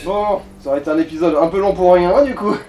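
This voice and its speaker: A man's voice: high voice, quick pace, mellow, recorded from a distance. A man giving casual co-commentary on a video game, explaining things and interjecting asides. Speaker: co-commentator helping the player. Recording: faint background noise.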